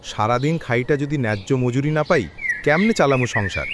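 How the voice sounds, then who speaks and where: A man's voice: mid-range voice, animated, brisk, background noise, natural voice. A man playing a frustrated yet hopeful poor village labourer in a cartoon, plaintive and questioning. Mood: anguished, pleading. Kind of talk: acted cartoon dialogue.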